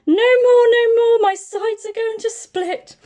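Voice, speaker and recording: A woman's voice: extremely high pitch, steady tone, measured pace, dark tone, voice pushed up. A woman voicing a big, friendly, polite brown bear with hearty, dramatic feeling in a children's picture book. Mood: roaring with mirth. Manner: courteous, hearty, dramatically emotional.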